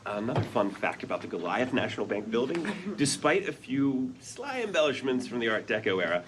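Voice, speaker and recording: Proud, wistful, nerdy father, read by a male actor, mid-pitched and expressive, with noticeable background noise. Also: a little fast, lightly acted. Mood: proud, pedantic. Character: earnest nerdy architect dad, proud and wistful. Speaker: actor at a sitcom table read. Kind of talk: comedic script read aloud before an audience. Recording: noisy live room.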